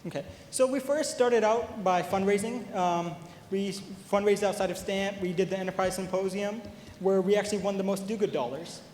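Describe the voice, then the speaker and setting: A young man from Pakistan speaking as a student presenter, pitching and answering questions at an event. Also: moderate pace, darkish tone. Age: young adult. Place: large indoor event hall. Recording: echoey hall, faint noise.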